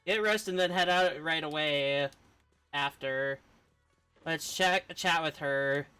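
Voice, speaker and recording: A woman streams a game with casual commentary in a bright, forward voice. Game music sits behind her as she talks. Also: sits low, slow, clear, resonant tone.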